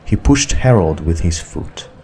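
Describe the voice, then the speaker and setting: Deep-voiced, slow-paced male audiobook narrator. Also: animated, noisy background. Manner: measured, deliberate storytelling. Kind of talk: scripted story narration.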